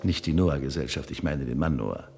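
Casting: earnest, bewildered space traveller, low voice, reflective and measured, vintage radio drama, faint music. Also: male voice, gravelly, warm tone. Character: bewildered male space station newcomer, thoughtful, earnest. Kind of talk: 1970s German radio play acting.

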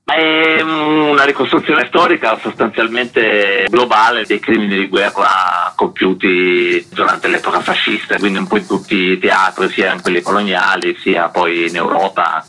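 A male author explaining history in a measured, lecturing way during a radio interview. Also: low-pitched, fairly even intonation, sharp tone, rough recording. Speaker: author guest.

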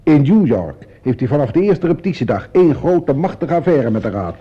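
Irritable, husky theatre man. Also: mid-range voice, big pitch swings, fairly quick. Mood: indignant. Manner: curt, aggrieved. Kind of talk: radio play acting. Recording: muffled old radio play recording.

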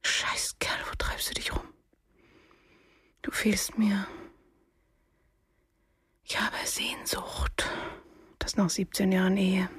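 A bitter middle-aged wife in a radio play. Her voice is very breathy and husky, and she talks slowly and broodingly, close and dry, full of longing and reproach. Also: mid-range voice, level tone, fairly bright tone, no echo, exaggerated voice. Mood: longing, resentful. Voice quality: breathy, half-whispered. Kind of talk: radio drama interior monologue.

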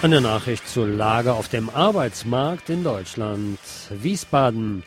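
A man's voice: mid-range voice, animated, slow pace, music in the background. A man reading scripted news in the role of a radio news presenter.

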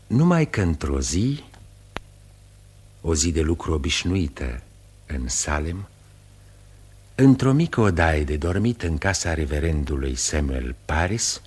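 Very deep, husky male radio narrator. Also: sing-song, long pauses. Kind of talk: dramatic scripted radio narration.

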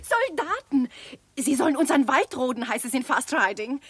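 A scolding village wife in a 1960s German radio play. Her high voice swoops up and down as she exclaims and gossips, recorded dry and close. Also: sing-song, moderate pace, husky, no echo, heavily acted. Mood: agitated. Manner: agitated, exclaiming.

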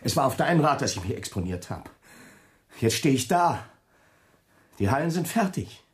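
A man's voice: mid-range voice, sing-song, moderate pace, lightly acted. Agitated, swooping desperate camp commandant played by a man. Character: desperate, pleading male Nazi camp commandant under pressure. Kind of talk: German radio drama acting.

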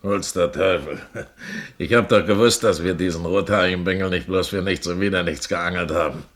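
A gruff pirate captain in a radio play. His voice sits very low, and he talks in a coarse, blustering, distrustful way. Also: brisk, a bit bright. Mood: gruff, swearing. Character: gruff, suspicious, swearing male pirate captain. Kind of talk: German radio play acting.

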